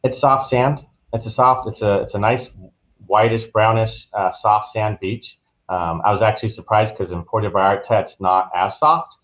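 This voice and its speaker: A man's voice: on the low side, fairly even intonation, moderate pace, fairly bright tone, dull audio. A man giving casual, easygoing, anecdotal answers as an interview guest. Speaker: travel agent, interview guest.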